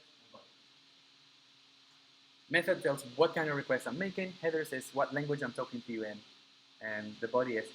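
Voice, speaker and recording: A man's voice: mid-range voice, a bit bright, slight background noise, rough recording. Man teaching a coding class, always asking "right?". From Argentina. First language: Spanish. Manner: explains step by step, keeps checking with "right?". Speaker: coding instructor.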